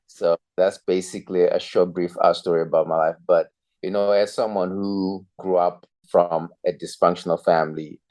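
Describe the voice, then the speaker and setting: A man's voice: low voice, fairly even intonation, moderate pace, a little mellow. A man, a youth pastor telling his story earnestly and reflectively as a podcast guest. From Zimbabwe. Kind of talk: podcast interview, personal story.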